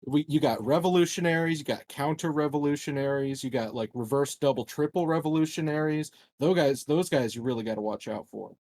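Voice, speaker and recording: A man's voice: fairly high pitch, a little fast, hardly any pauses, a little mellow. A man playing a tabletop game, chatting casually in a jokey, rambling way. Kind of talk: casual joking chat.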